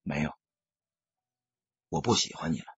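A man's voice: a bass voice, moderate pace, a bit bright, breathy edge, no echo, highs cut off, voice pushed down, full character voice. A man playing a stoic young gangster, curt and subdued, holding back heartbreak in an audiobook. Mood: cold, pained.

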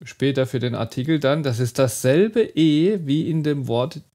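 Slow, gravelly-voiced man teaching pronunciation. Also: mid-range voice, mellow. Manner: slow, demonstrative, repeats model words. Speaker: German teacher. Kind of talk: online phonetics lesson.

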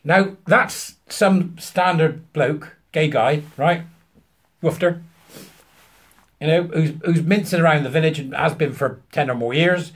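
A British man in his fifties telling an anecdote to camera, emphatically acting out both sides of a conversation in a husky voice. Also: fairly high pitch, a little slow. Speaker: vlogger. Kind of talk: animated anecdote told to camera. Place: small furnished room at home, indoors.

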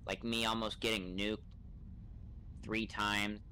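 A man's voice: fairly low pitch, flat delivery, takes it slowly, pushed and bright, a little hiss or hum. A man talking casually in character in an online role-playing game over a voice chat microphone.

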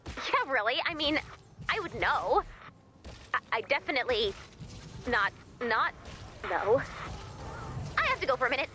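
An actress playing a brisk, wry, easily exasperated woman in command of a base in an animated video game, with dry sardonic delivery. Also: high voice, a little hiss or hum, full character voice. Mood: flustered. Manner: dry, sardonic, hesitant when flustered.

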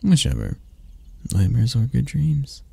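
A man voicing a possessive, teasing spider-creature lover in an intimate ASMR roleplay, with a husky, breathy, gravelly murmur. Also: mid-range voice, wildly swooping pitch, no room sound, heavily acted. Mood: tender, possessive. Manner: slow, teasing murmur with soft chuckles and near-whispers.

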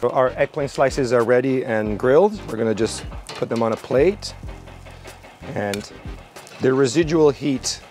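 A young man cooking outdoors at a barbecue, relaxed and explaining each step as he works. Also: mid-range voice, unhurried, soft background music. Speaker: cooking show chef. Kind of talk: cooking demonstration. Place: outdoor garden by a barbecue grill.

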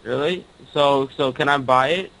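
Young guy prank calling on the computer, medium pitch, kinda halting. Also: creaky, a bit bright, rough recording. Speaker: prank caller. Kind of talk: hesitant casual prank call. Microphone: computer voice call.

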